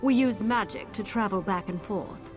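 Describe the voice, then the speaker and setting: An actress playing a haughty, impatient scholar mage in a video game, curt, formal and condescending. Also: female voice, mid-range voice, moderate pace, close to an everyday voice. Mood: formal, instructive. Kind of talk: video game character dialogue. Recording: game music behind.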